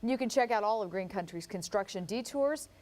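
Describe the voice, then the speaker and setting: A woman's voice: mid-range voice, animated, a little fast, a little mellow. An American woman anchoring a TV newscast, reading local news to viewers.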